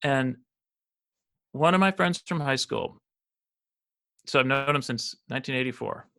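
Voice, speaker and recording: A man's voice: mid-range voice, measured pace, creaky, pushed and bright, smooth. An American man in his fifties, a financial advisor talking as a podcast guest, rambling with many restarts and fillers. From United States. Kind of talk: casual interview conversation.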